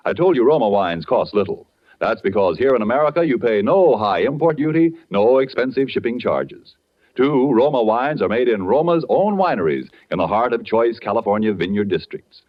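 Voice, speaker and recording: Animated male 1940s radio announcer. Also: mid-range voice, normal speed. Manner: polished, formal salesmanship. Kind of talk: scripted 1940s radio commercial narration. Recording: dull vintage radio broadcast, music behind. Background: music.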